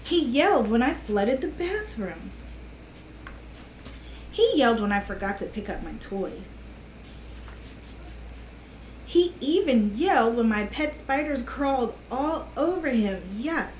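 Middle-aged woman, slightly high voice, children's storytime host, roomy sound, faint noise. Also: sing-song, slow, pauses often, a little mellow. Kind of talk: reading a picture book aloud to children. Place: small living room on a sofa, indoors. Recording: echoey room with faint hiss.

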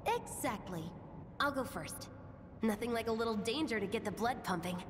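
Woman as a playful young rebel, lively pitch. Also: moderate pace, big-room sound, background noise, lightly acted. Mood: playful, confident. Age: young adult. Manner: playful, teasing, breezy. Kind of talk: dramatic video game voice acting.

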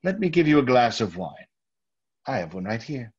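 A man playing a nervous, overpolite murderer hiding his guilt, halting and husky, in old-time radio theater style. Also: medium pitch, very animated. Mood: ingratiating. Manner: halting, over-courteous, stammering. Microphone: video call. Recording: dull, lo-fi video call audio.

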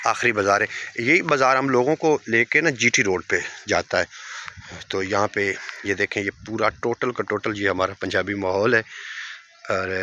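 A man's voice: lowish voice, slight background noise. A man in his thirties with a Punjabi accent, vlogging from his village and interviewing a local on a phone held at arm's length. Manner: raises voice to address viewers, speaks softer while walking. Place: outdoors in a village, light rain. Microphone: phone held at arm's length, selfie style.

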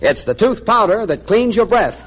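Animated American 1940s radio announcer, man. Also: high voice, moderate pace, low-quality recording. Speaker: radio announcer reading sponsor ad. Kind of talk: 1940s scripted radio commercial pitch. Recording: 1940s radio broadcast recording.

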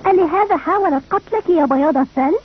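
An actress playing a kind, resourceful youngster who looks after friends in a dubbed cartoon. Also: female voice, very high-pitched, fast, slight background noise. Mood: caring. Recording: muffled old cartoon dub.

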